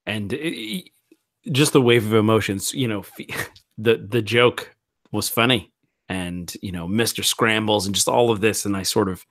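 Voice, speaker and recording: Man, fairly low voice, very dry recording, chatty, halting, casual role-play. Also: fairly bright tone, no room sound. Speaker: player in a tabletop mystery game.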